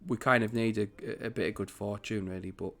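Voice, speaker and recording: Chatty man with a croaky voice. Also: lowish voice, steady tone, a little fast, a bit bright. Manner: chatty, rambling, self-correcting. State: croaky morning voice. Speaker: gaming channel host. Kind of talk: casual strategy game commentary.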